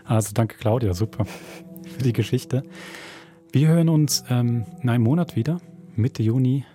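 A man's voice: mid-range voice, moderate pace, dark tone, soft background music. A man hosting a podcast in a casual, conversational style. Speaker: podcast host.

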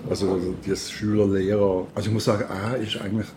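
A man's voice: lowish voice, flat delivery, fairly quick, noisy background. A man talking casually and reflectively as an interviewer in conversation. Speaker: interviewer and radio play maker.

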